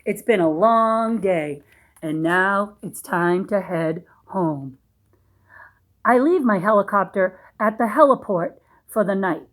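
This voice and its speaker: A woman reading a storybook aloud to young children, pausing in a teacherly way to ask questions and explain things simply. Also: medium pitch, lively intonation.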